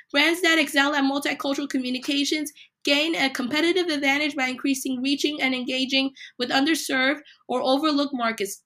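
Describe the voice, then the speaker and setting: A woman hosting a solo podcast, a little hoarse from a cold, reading numbered points with emphasis. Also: high voice, bright, forceful tone. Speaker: podcast host.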